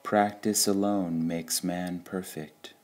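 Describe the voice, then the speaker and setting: A man reading devotional sayings from a script in a measured, deliberate way, pausing between short phrases. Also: deep voice, fairly even intonation, a little mellow. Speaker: reader of spiritual quotations.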